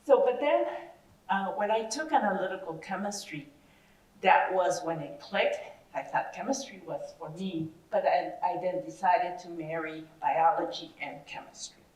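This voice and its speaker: A woman's voice: lowish voice, normal speed, darkish tone. A woman from Ecuador, a chemistry professor telling her story to young people in a friendly, somewhat hesitant way. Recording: echoey room, distant mic.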